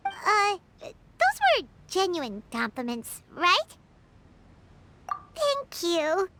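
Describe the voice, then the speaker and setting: A woman voicing a small, childlike, excitable and easily flustered fairy companion in a video game. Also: very high-pitched, full character voice. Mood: flattered, bashful. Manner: speaks of herself in the third person, exclamatory. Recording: game music behind the voice.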